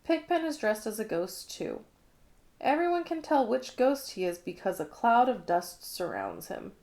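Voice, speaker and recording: A woman narrating a children's picture book in an expressive read-along style. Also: on the high side, measured pace. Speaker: storybook reader.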